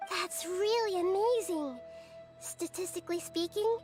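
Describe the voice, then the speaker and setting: A young goddess from a video game, speaking in an extremely high, girlish voice. She is gentle and wise, with a measured, caring delivery. Soft music sits behind her. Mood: gentle, thoughtful. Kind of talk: English video game voice acting.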